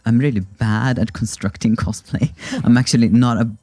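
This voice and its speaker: A man's voice: mid-range voice, steady tone, moderate pace, dark tone, dry recording. A man, a guest in a casual chat, self-deprecating and restarting often. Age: 20s.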